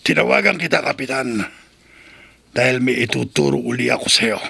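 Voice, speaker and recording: Gravelly, secretive informer. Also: male voice, mid-range voice, moderate pace, bright, forceful tone, slight background noise. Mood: sly, secretive. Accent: Filipino. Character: secretive male informer denouncing monsters by phone. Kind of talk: Filipino horror radio drama acting.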